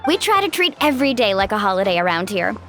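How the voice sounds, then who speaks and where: A woman's voice: medium pitch, very animated, quick pace, over loud music. A woman voicing a cheerful, easygoing young tour guide in a video game dub, breezy and friendly. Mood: enthusiastic.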